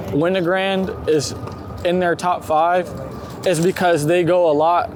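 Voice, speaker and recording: A man talking casually and informally, with some swearing, as a street photographer being interviewed outdoors while walking. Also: fairly high pitch. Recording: loud outdoor city street noise. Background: traffic and urban street noise.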